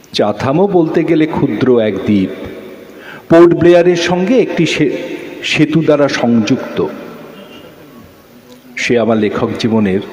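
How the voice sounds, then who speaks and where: A man playing a reflective writer, narrating memories in an audio drama in a measured, wistful way with pauses. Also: on the high side, a little mellow. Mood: wistful, musing. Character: reflective male writer recalling his island days. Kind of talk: dramatic literary story narration.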